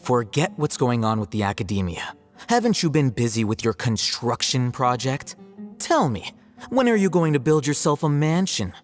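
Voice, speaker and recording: Smug, sardonic young scholar played by a man, lively intonation. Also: medium pitch, soft background music, close to an everyday voice. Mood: needling. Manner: dry, sardonic, unhurried needling. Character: aloof, smug young male scholar and scribe. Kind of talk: video game voice acting.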